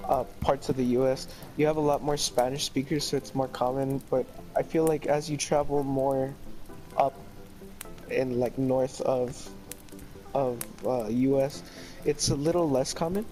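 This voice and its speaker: A young man from El Paso, answering interview questions in a relaxed, rambling way. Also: mid-range voice, measured pace, soft background music, rough recording. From El Paso, Texas.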